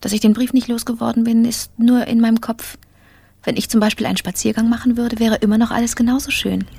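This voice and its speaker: A woman's voice: a little high, level tone, fast talker, creaky, mellow, breathy edge, dry recording. An actress playing a controlled, privately resentful female office boss, talking to herself with clipped resolve in a radio play. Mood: forced optimism. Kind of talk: radio play interior monologue.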